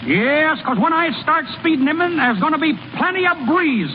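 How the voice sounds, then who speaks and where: A man's voice: very high-pitched, lively intonation. An actor playing a plainspoken small-town American ballplayer and delivery man in old-time radio style, with a folksy, slangy way of talking. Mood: excited, cocky. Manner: folksy, slangy, halting when shy. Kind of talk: 1930s-40s American radio acting. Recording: muffled, noisy old radio broadcast.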